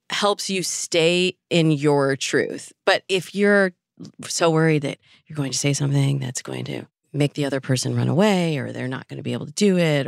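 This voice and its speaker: A woman with a low-pitched voice talks as a guest expert in an interview. She explains advice in a conversational way, using illustrative examples. The sound is dry and close, with no room echo. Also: creaky. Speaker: dating coach, interview guest.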